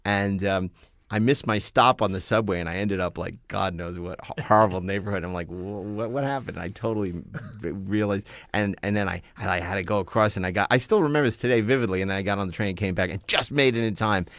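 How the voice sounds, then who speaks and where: A man's voice: fairly low pitch, quick pace, fairly bright tone, dry recording, studio quality. A middle-aged American man hosting a casual film chat, chatty and often repeating words as he goes. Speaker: podcast host.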